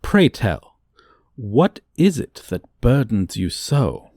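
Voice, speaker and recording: A man's voice: mid-range voice, big pitch swings, slow, darkish tone, dry recording, studio quality, full character voice. A man voicing a haughty dragon professor in an ASMR roleplay, condescending to a human student in pompous, archaic, formal diction. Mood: condescending, amused.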